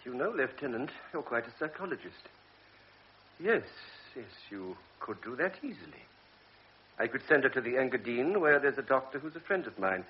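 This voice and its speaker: An actor playing a grave older doctor, speaking in a measured, lecturing way in a radio drama. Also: male voice, mid-range voice, very animated, close to an everyday voice. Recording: dull old radio recording with faint hiss.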